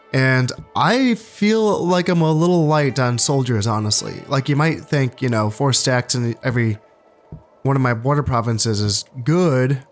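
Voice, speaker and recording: A man hosting a strategy game playthrough, talking casually and thinking aloud, congested from allergies and a bit low on energy. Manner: relaxed, thinking aloud with fillers and restarts. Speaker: gaming channel host.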